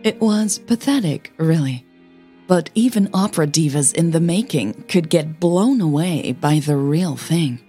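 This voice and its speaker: Woman, low pitch, unhurried pace, scripted story narration, measured phrasing, music behind. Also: lively intonation, dark tone, husky. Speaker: podcast host and narrator. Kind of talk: scripted audio fiction narration.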